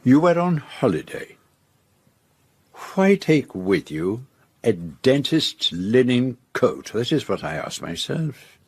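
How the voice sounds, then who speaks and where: An actor playing a confident, methodical detective in a radio drama, speaking formally and deliberately as he lays out a case. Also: mid-range voice, very animated, a little mellow, breathy edge, dry recording, exaggerated voice. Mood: assured. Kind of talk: radio drama acting, detective's denouement monologue.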